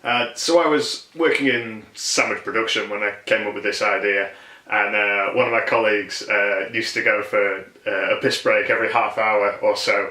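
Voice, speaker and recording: A man's voice: fairly low pitch, fairly even intonation, normal speed, bright, forceful tone. A man talking casually and informally as a writer being interviewed, with some swearing. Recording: echoey room.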